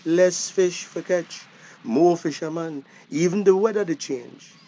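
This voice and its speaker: An actor playing a plain-spoken older fisherman with a Belizean Creole accent, talking in deliberate, drawn-out phrases in a drama. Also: a little high, dark tone, dull audio, a little hiss or hum. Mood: reflective. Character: seasoned old fisherman, plain-spoken and practical. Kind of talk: naturalistic dialect radio drama acting.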